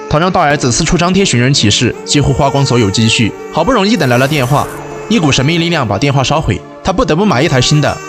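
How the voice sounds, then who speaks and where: Male narrator, scripted film recap, brisk pace, highly varied intonation.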